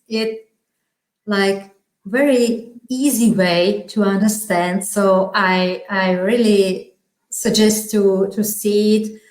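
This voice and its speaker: Slovak woman, english isn't her first language, slow and halting, lots of restarts, echoey room. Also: mid-range voice. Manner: halting, searching for words, frequent restarts and laughs. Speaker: interview guest. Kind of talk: casual interview chat.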